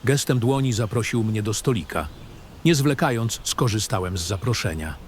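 A man narrating a fantasy audio drama in an expressive, literary storytelling style. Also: lowish voice, normal speed, slight background noise. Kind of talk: scripted first-person literary narration.

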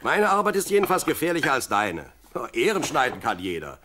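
An actor playing a plucky, devout young shepherd, the youngest brother, with earnest, dramatic delivery in an audio drama. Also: male voice, medium pitch, huge pitch swings, fairly quick. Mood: indignant, defiant. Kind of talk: German audio drama acting. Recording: clearly noisy radio play with sheep sounds.

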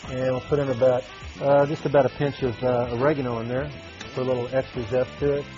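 A middle-aged man chef talks his way through a recipe on a TV studio set, clipped to a lapel mic. He speaks at an average pitch in a relaxed, folksy way, filling gaps with "uh" as he cooks. The broadcast audio is a little dull, with faint music behind. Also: fast. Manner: folksy, talks while cooking with frequent fillers. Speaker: restaurant chef. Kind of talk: live TV cooking demo.

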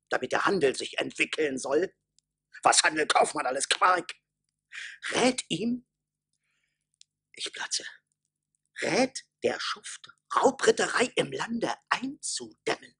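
An actor playing a fat, pompous imperial marshal who sputters indignantly in a raspy, gravelly voice, in a radio play. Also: male voice, mid-range voice, bright, forceful tone, exaggerated voice. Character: fat blustering imperial marshal in clanking tin armour. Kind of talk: theatrical German radio play acting.

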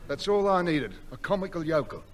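A man's voice: on the high side, expressive, fairly quick, lightly acted. An actor playing a sarcastic small-time conman, bossy and wisecracking in 1960s radio sitcom style. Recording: noisy old radio broadcast.

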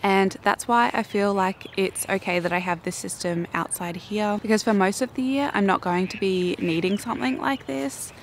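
A young woman with an Australian accent and a croaky morning voice, chatting casually and self-mockingly to camera in her backyard garden. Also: mid-range voice, normal speed, hardly any pauses, slight background noise. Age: young adult. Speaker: flower farming vlogger. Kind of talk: casual vlog talk to camera.

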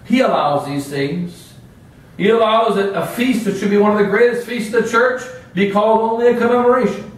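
High-voiced preaching man in echoey chapel. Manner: emphatic preaching with pauses and gestures. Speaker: priest. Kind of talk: sermon. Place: small home chapel, indoors. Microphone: far camera. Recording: echoey room, from far off.

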